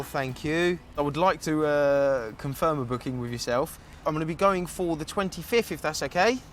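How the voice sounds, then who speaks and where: A man's voice: a little high, a little hiss or hum. A young man born in Turkey planning his own wedding, talking in a chatty, upbeat way and laughing easily as he haggles and makes bookings. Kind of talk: casual reality documentary talk.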